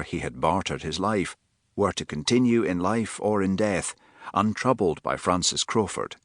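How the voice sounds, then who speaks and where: A man reading an audiobook with measured, expressive narration, recorded close on a studio mic. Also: low-pitched, no room sound. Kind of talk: scripted narration.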